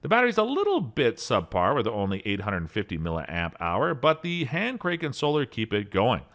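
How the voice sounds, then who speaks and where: A man narrating a scripted voice-over rundown of emergency radios, reading spec lists at a steady pace and lifting his voice on each product name. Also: medium pitch, normal speed.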